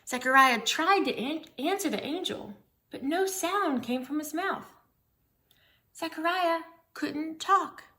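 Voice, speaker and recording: A woman's voice: high voice, animated, slow, bright, forceful tone. A woman reading a Bible story aloud for young children, explaining things simply and putting questions to her young listeners.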